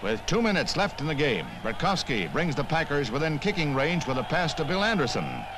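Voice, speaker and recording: A man's voice: on the high side, sing-song, fairly quick, very noisy. An American man reading scripted 1960s newsreel narration in a punchy, wry manner, on an old film soundtrack. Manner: punchy, wry, punning delivery. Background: music.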